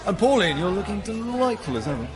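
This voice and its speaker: An actor playing a fussy, polite crime boss in a sitcom, apologetic and rambling with genteel menace. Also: male voice, high-pitched, sing-song, fast, a crowd in the background. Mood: suave, flustered. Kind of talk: studio sitcom acting.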